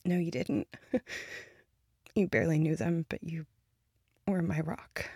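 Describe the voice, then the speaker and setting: A woman voicing a grieving young girlfriend who moves between bitter anger and soft whispers, speaking close to the microphone. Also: sits low, fairly even intonation, unhurried, vocal fry, husky, no echo, exaggerated voice. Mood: tender. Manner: shifts between angry outbursts and soft whispers. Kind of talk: audio roleplay to a listener.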